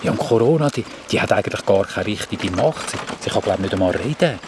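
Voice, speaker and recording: A man's voice: fairly low pitch, expressive, fast, noisy background, close to an everyday voice. A man with a Swiss German accent voicing a young adventurer who rambles and repeats words as he explains things. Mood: explaining. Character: Sämi, a curious young cart-riding adventurer.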